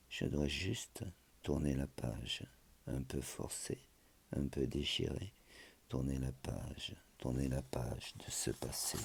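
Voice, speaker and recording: Deep, gravelly man reciting poetry. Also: mellow. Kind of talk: poetry recitation, spoken word.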